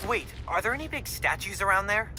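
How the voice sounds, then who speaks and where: A goofy, chatty teen sidekick. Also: male voice, high-pitched, lively intonation, a little fast, loud music behind the voice. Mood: playful. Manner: rambling, playful, full of odd tangents. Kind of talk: video game cutscene acting.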